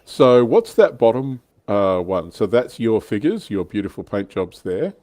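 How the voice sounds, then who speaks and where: A man with a low, soft-edged, dark voice hosts a hobby livestream. He talks casually and conversationally, as among fellow enthusiasts. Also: big pitch swings, unhurried. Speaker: livestream host.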